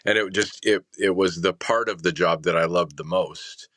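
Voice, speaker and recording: A man with a notably low voice and a crisp, bright edge speaks as an interview guest. He reflects thoughtfully, pausing and restarting as he goes. The recording is dry and close. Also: no room sound. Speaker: interview guest, sound designer.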